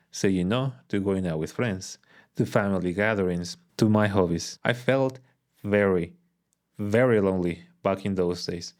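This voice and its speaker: Low-voiced man telling his own story. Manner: earnest and reflective. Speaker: vlogger. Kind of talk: personal story narration. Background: soft guitar music.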